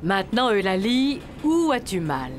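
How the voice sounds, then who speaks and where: A woman voicing a brisk female animal doctor in a French children's cartoon. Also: medium pitch, sing-song. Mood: brisk, professional. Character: female animal doctor, brisk, hard of hearing amid din. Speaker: surgeon character. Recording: music behind the voice.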